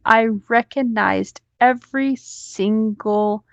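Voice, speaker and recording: Woman, host, unhurried story reading, casual asides, dry close sound, slightly dull recording. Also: fairly high pitch, gravelly, dry recording.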